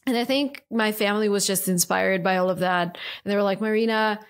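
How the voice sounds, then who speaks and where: A woman in her thirties from Russia, speaking English with a Russian accent as a podcast guest, animated and casual with many fillers, recorded at home on a desk mic. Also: mid-range voice, fairly quick. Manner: animated, many likes and restarts. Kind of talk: casual interview. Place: home study with bookshelves, indoors. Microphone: studio mic on desk, earbuds.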